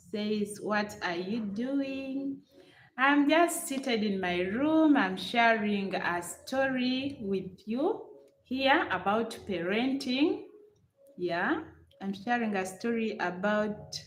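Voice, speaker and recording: A middle-aged woman with a Ugandan accent talks at an unhurried, chatty pace, repeating herself and speaking straight to her viewers. She is recorded in a room with a noticeable echo and a faint hiss underneath. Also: fairly high pitch, lively intonation. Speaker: vlogger. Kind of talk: live-stream storytelling with chat replies.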